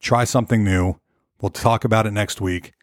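A hoarse man hosting his own podcast, chatting loosely and rambling from topic to topic. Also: on the low side, steady tone, moderate pace, no echo, studio quality. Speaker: podcast host. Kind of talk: casual solo podcast rambling. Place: Nashville.

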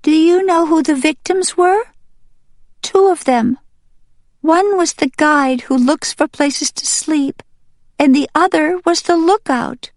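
A woman's voice: high-pitched, slow pace, no echo, studio quality, voice pushed up. A woman voicing a plaintive young male wild duck who pleads earnestly like a child in an audiobook. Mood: urgent, excited. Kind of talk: audiobook character voice.

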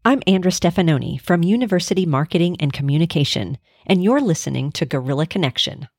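A woman who hosts a university podcast, reading a scripted intro and interviewing a guest in a measured, friendly way. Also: lowish voice, animated, darkish tone, breathy edge.

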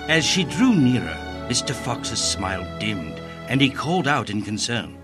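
Male narrator, fairy-tale radio drama. Dramatic, expressive read over a clear music bed. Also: medium pitch, unhurried.

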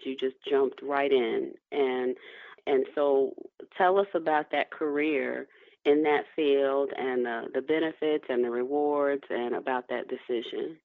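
A woman's voice: rumbling low, dry recording. A woman hosting a podcast, interviewing in a conversational way. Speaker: podcast host. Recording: narrow phone-like sound, dry.

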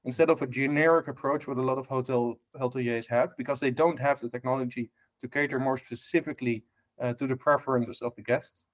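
A man's voice: medium pitch, steady tone, a little fast. A man from the Netherlands with a Dutch accent, speaking as a podcast guest about hotel technology, full of fillers and restarts and ending points with "right?". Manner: many fillers and restarts, tag questions. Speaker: guest, hospitality tech lead. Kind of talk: casual podcast interview.

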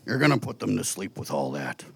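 Gravelly, teasing giant furry beast. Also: male voice, sing-song, no room sound, heavily acted. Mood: playful, teasing. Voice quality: gravelly, husky. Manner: unhurried, booming, playfully teasing. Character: huge furry beast, teasing, jovial council caretaker.

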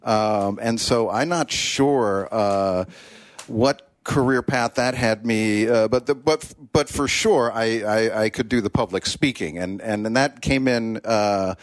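A man's voice: on the low side, slow, no echo. Stammering male radio co-host, dry sound. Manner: stammering restarts, jokey. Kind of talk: hosting radio talk.

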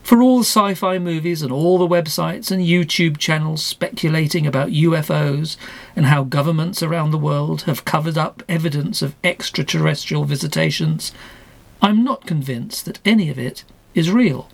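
Casting: male actor as earnest, courteous podcast reporter, highish voice with vocal fry, scripted audio drama. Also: moderate pace. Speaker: podcast host.

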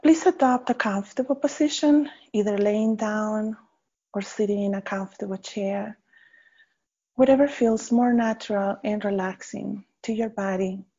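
A woman leading a guided meditation in a gentle, unhurried manner with pauses between short phrases. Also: mid-range voice. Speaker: meditation guide, faculty member. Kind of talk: guided body scan meditation. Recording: slightly dull, muffled audio.

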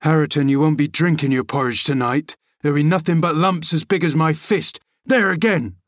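A man's voice: fairly high pitch, steady tone, brisk, no room sound, studio quality, voice pushed up, lightly acted. A man reading aloud, voicing a sour, grumbling old male servant who scolds and mocks. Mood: scolding. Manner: scolding, mocking.

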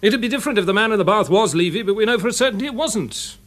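An actor playing a sensible, sceptical English police inspector in a radio drama, chummy and questioning. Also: male voice, sits high, expressive, racing, a bit bright. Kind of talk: BBC radio drama acting.

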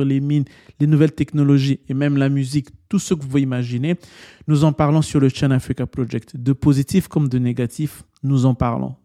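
A man's voice: medium pitch, warm tone. A man from the Democratic Republic of the Congo introducing his podcast as its editor, explaining earnestly, posing rhetorical questions and often restarting his phrases. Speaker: podcast host and French-language editor.

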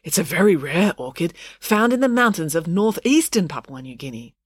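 A woman voicing an earnest academic father, a careful and hesitant plant expert, explaining in a measured way for an audiobook. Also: on the low side, very animated, breathy edge, no echo, clean studio sound. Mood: explanatory.

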